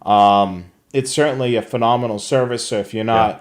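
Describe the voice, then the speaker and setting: A man's voice: medium pitch, fairly even intonation, moderate pace. A man co-hosting a live hobby show, talking casually through products and services for viewers. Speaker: co-host of a live radio scanner show.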